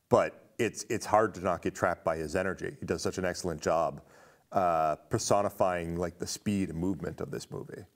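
A man in his thirties hosting a casual film discussion, talkative and enthusiastic. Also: low voice. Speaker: host of a film discussion show. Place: indoor studio stage with curtain.

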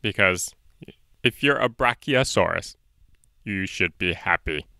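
A man's voice: sits low, expressive, measured pace, gravelly, pushed and bright, smooth, no room sound, studio quality. A man, perhaps in his thirties, hosting a casual step-by-step drawing lesson for children in a playful way, talking to himself as he draws. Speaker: drawing tutorial host for children.

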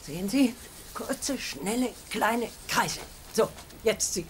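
A woman's voice: on the high side, slow. An actress playing a fussy, condescending older lady in a German sitcom dub, sugary and bossy. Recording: noisy audio with clear background noise.

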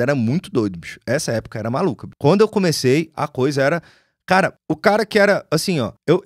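A man in his forties with a Brazilian accent, a music producer telling stories casually to his live viewers, slangy and animated, on a studio mic in a small home studio. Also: fairly high pitch, no echo. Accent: Brazilian Portuguese, lost Goiás accent. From Goiás, Brazil. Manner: animated storyteller, slangy, swears. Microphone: studio mic on boom.

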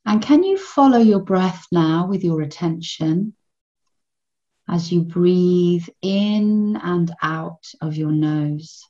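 A woman guiding a children's meditation in a gentle, soothing manner, in measured phrases with long pauses between them. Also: on the low side, animated, dull audio. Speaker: meditation guide.

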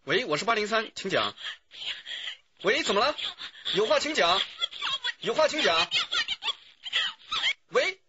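一名男演员在广播剧里饰演一位警觉尽责、语气急切而恭敬的接警员。. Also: male voice, sits high, sing-song, unhurried, long pauses. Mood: insistent. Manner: urgent, deferential to superior. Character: alert, dutiful male police phone operator. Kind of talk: radio drama acting. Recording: dull audio with faint noise.

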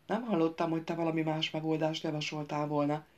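A woman's voice: low voice, little pitch movement, a little mellow, natural voice. A woman voicing a firm, level-headed young princess in an audiobook.

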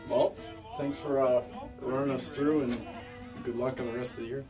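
Male American host, medium-pitched, dry and informal interviewer, guitar music under the voice. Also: fairly even intonation, moderate pace. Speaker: fishing show host interviewing. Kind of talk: casual interview chat. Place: small wooden ice fishing shack. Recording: music playing behind the voice.

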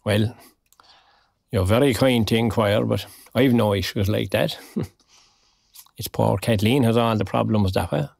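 An older Irish man in an audio drama. His voice is gravelly and creaky, recorded close and dry. He talks with proud, kindly, wry humour, like a retiree set in his ways. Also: fairly low pitch, unhurried, pauses often, a little mellow, no room sound. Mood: reflective. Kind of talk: naturalistic audio drama dialogue.

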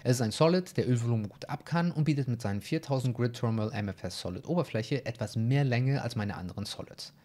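Young male instructor, animated delivery, methodical and technical, close desk mic in a small room. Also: medium pitch, fast, hardly any pauses. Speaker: bowling coach. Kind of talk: explanatory tutorial. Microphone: desk mic in front.

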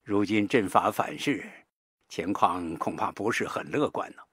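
A man voicing a grave, calm general who speaks as a consoling comrade in an audiobook. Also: fairly low pitch, measured pace, slightly rough, no echo, clean studio sound, exaggerated voice.

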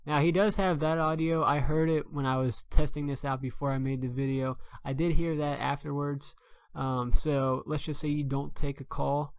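A man's voice: a little high, steady tone, moderate pace, hardly any pauses, no room sound. A man giving casual, unscripted commentary as he previews something in a video game for viewers. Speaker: streamer, host.

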